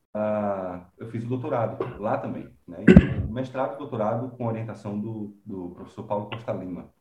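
A man's voice: lowish voice, a little mellow, echoey room, a little hiss or hum. A man from Bahia, a composer and professor talking unhurriedly in an interview, thinking aloud with many fillers. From Bahia, Brazil. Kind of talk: relaxed conversational interview.

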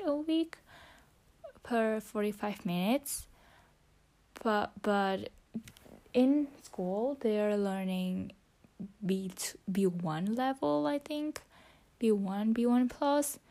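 Slow, hesitant young woman. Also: mid-range voice. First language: Vietnamese. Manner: hesitant, searching for words, self-correcting, soft and half-whispered, laughs often. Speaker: student vlogger. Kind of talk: casual self-talk vlog practicing English. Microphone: phone.

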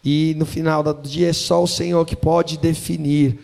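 A man interpreting a sermon line by line, earnest and quick to follow. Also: a little high, flat delivery, creaky, a little mellow, in a large hall. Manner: earnest, repeats each line right after the preacher.

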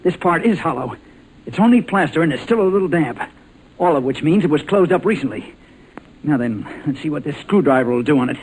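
A resourceful American adventure hero, played by a man, level-headed and brisk as he explains and instructs. The delivery is 1940s radio serial acting, heard on an old recording with faint noise. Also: on the high side, animated, a little mellow, close to an everyday voice, rough recording. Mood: determined.

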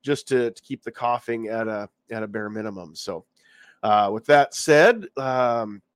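A man's voice: fairly low pitch, a little slow, no room sound. A man hosting a casual live podcast, congested from a cold and talking around a lozenge in his mouth. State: congested, getting over a cold. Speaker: podcast host. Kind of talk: casual live-stream chat.

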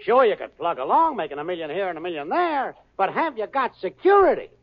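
An actor playing a brash, fast-talking boyfriend with a salesman's patter in a radio sitcom. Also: sits high, sing-song, exaggerated voice. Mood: breezy. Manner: breezy, slangy, salesman's patter. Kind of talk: 1940s radio sitcom acting. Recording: lo-fi old radio broadcast with studio audience.

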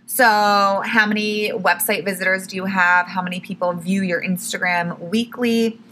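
A young woman hosting her own podcast, talking casually and correcting herself often, a little hoarse as she starts to lose her voice. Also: medium pitch, steady tone, moderate pace, background noise. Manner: chatty, self-correcting, says "like" and "literally" a lot. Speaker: podcast host. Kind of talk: casual solo podcast tips.

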